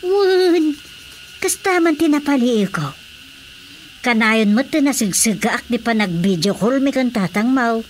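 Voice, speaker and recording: An actress plays an older Filipino mother in a radio drama comedy, chatty, coy and a little wistful. Her voice sits somewhat high. Faint noise runs behind the recording. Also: animated, unhurried.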